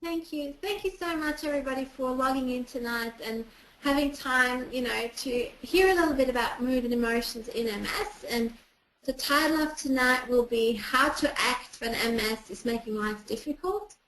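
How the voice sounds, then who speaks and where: A woman's voice: high-pitched, normal speed, a bit bright. A woman from Austria with an Austrian German accent, a clinical psychologist explaining patiently in an online presentation, with frequent ums. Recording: echoey room.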